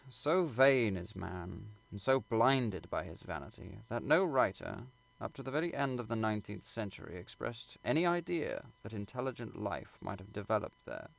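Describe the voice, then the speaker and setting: A man reading literary prose aloud as an audiobook narrator, in a measured, formal and dramatic way. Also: on the low side, creaky, low-quality recording. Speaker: audiobook reader.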